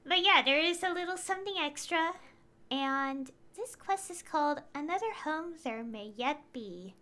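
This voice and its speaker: A woman's voice: high-pitched, pushed and bright. A woman talking casually and in a rambling way, as a streamer commentating on a game. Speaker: game livestreamer. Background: game music.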